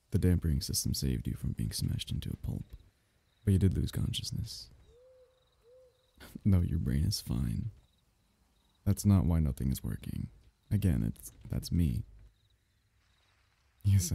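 A man voicing a possessive, soothing alien symbiote in an ASMR roleplay, speaking in a soft, coaxing, intimate way with breathy drops into whisper. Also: low-pitched, no room sound, heavily acted. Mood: calm, explaining. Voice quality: husky, breathy, often dropping into whisper. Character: possessive, soothing alien symbiote, male, semi-liquid creature.

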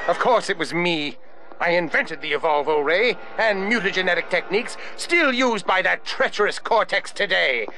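An actor voicing a creepy, indignant older mad scientist in a cartoon game, pompous and theatrical. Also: male voice, high voice, expressive, moderate pace, slight background noise, exaggerated voice. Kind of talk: cartoon video game acting. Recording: loud music behind the voice.

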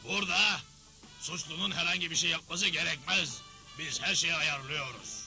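Bossy old cowboy judge from a cartoon dub, high piercing voice, hammy and blustery. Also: male voice, moderate pace, bright, forceful tone, soft background music. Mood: smug, bossy. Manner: blustering, theatrical. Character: domineering, gleefully unjust Old West judge, older man. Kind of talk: dubbed cartoon acting.

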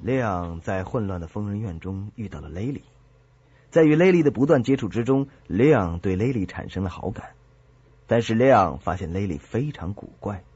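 A man's voice: fairly low pitch, expressive, normal speed, a little mellow, slightly rough, dry recording. A man reading scripted dramatic narration as the narrator of a story. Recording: dry, slightly dull studio sound.

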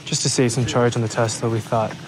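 A man's voice: medium pitch, little pitch movement, a little fast, over music, rough recording. A young man narrating a casual travel vlog in a matter-of-fact, informative way. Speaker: travel vlogger. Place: outdoors at a snowy canyon overlook. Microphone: phone camera at arm's length.

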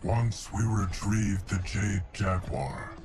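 A man playing a calm, solemn immortal guardian in a video game cutscene, speaking in a measured, formal and grave way. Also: fairly low pitch, noisy background. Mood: solemn, reassuring. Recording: music under the voice.

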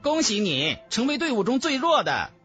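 A man's voice: very high voice, huge pitch swings, moderate pace, fairly bright tone, soft background music, voice pushed up, exaggerated voice. A man voicing a spiteful, scheming young woman cultivator in an audiobook. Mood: sneering. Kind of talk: audiobook character voice.

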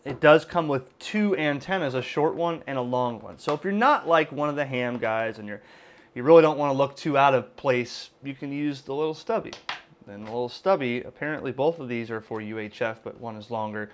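A man with a mid-pitched voice talks casually through a product demonstration. His explanations are informal and conversational, often hedged, like someone thinking aloud at his desk. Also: fairly quick, a little hiss or hum. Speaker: amateur radio video host and product reviewer. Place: indoors at a computer desk.

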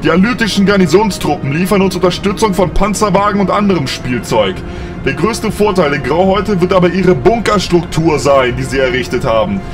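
A man's voice: high voice, expressive, brisk, over music. A man acting a ruthless, cursing military officer, blunt and commanding, in a science fiction audio drama. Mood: grim, commanding.

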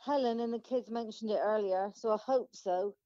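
A woman's voice: medium pitch, moderate pace, dark tone, no room sound, dull audio, lightly acted. An actor, a woman playing a blunt, impatient, grumbling village woman in a radio play. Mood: offhand. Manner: colloquial, grumbling.

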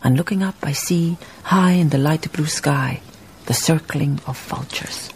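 An actress playing a reflective woman memoirist, narrating a radio drama in a measured, lyrical way, savouring her phrases. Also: sits low, a little mellow, slight background noise. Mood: contemplative. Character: reflective, poetic woman memoirist recalling colonial farm life.

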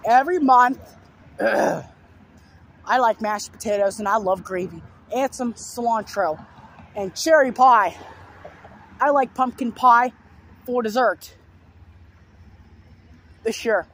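A hoarse young man with a sore throat rambling through repeated simple lists in a casual vlog, filmed outdoors beside a road on a phone held at arm's length. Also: extremely high pitch, slow, pauses often, a bit bright. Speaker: vlogger. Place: outdoors on a fenced overpass beside a busy road. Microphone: phone held low at arm's length. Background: faint passing traffic.

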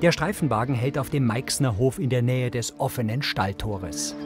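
A man's voice: medium pitch, normal speed. A man reading scripted audio description of scenes in the present tense as a narrator. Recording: music bed under the voice. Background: background music.

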